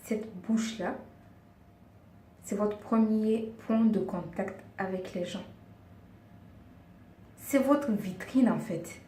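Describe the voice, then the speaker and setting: A woman's voice: mid-range voice, takes it slowly, long pauses, warm tone. A woman giving earnest, coaxing beauty advice in a casual vlog, speaking a second language and sometimes searching for words. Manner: earnest, coaxing, often addresses her viewers as girls. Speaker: beauty and lifestyle vlogger. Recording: roomy echo, distant mic, faint background hiss.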